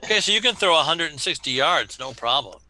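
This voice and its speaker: A man's voice: fairly high pitch, animated, fast talker, bright, forceful tone, poor audio. A man running a tabletop role-playing game as game master, bantering and teasing his players.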